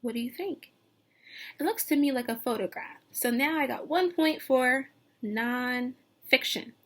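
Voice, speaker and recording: A woman teacher reading a picture book aloud to young children, expressive and sing-song, pausing to put questions to them. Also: sits high. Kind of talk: read-aloud lesson with questions to young listeners.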